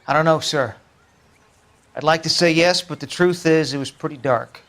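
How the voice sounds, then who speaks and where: A man's voice: fairly high pitch, moderate pace, fairly bright tone. A man playing a respectful, watchful witness in a detective game, plain-spoken, with an American accent. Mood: earnest, deferential. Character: deferential, watchful male school witness.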